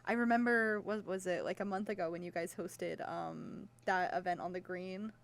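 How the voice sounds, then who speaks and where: A woman's voice: lowish voice, fairly even intonation, normal speed, gravelly. Young woman hosting student radio. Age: young adult. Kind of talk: casual radio interview.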